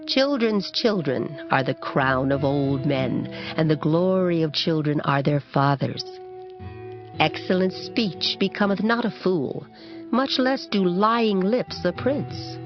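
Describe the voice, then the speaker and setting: A woman reading King James scripture in a solemn, measured, dramatized audiobook style. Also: lowish voice. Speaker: scripture reader. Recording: dull lo-fi sound under prominent music. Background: music.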